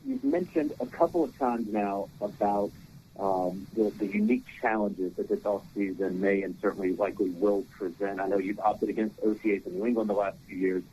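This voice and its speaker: A male sports reporter politely asking a question on a press call, speaking in a halting way. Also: on the low side, dark tone. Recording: noisy conference phone line.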